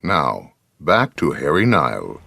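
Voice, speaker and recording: A man's voice: rumbling low, wildly swooping pitch, takes it slowly, vocal fry, slightly rough, exaggerated voice. A man announcing the breaks of a radio drama. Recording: loud music under announcement.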